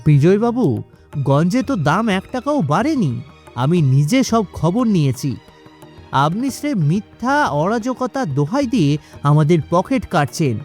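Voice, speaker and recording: A man plays a defiant village man in a cartoon. His high voice moves up and down a lot, earnest and accusing, with faint music underneath. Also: big pitch swings, moderate pace, darkish tone. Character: defiant, outspoken village man standing up to exploiters. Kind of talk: cartoon dialogue.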